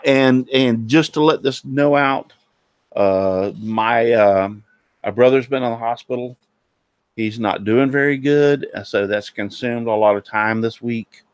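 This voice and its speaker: A man hosting a casual live-streamed woodworking talk show, sounding nasal and a little hoarse, rambling with many fillers and restarts. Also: mid-range voice, unhurried, no echo.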